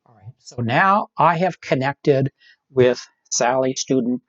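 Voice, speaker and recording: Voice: medium pitch, takes it slowly, dry recording. Methodical instructor, slightly dull dry audio. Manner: step-by-step, often checking with "right?" and "all right". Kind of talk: live online tech training, explaining steps.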